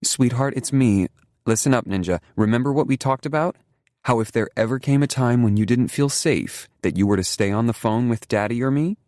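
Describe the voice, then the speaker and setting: A man's voice: lowish voice, normal speed, hardly any pauses, a little mellow, dry recording, studio quality, pitched above the performer's own voice, natural voice. A man voicing a determined, protective adult woman in an audiobook, soothing and firm under pressure. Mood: soothing, urgent. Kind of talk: audiobook character voice.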